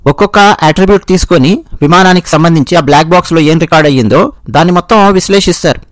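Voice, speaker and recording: A man's voice: a little high, quick pace, no echo. A man with a Telugu accent giving explanatory news commentary, restating and rephrasing his points as he goes.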